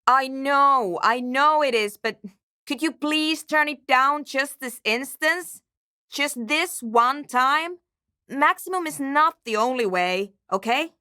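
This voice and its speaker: A woman's voice: high voice, slow pace, sharp tone, dry recording, clean studio sound, exaggerated voice. A woman playing a guarded, impatient and anxious programmer in a video game, with a terse, precise and matter-of-fact way of talking. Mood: anxious, pleading. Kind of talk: scripted game character dialogue.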